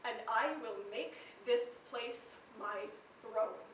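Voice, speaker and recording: A woman's voice: fairly high pitch, slow pace. A young woman of seventeen reciting spoken-word poetry live with impassioned, emphatic, dramatic delivery. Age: teenager, seventeen. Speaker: slam poet performing. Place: live poetry slam venue, indoors. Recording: echoey room, distant mic, faint noise.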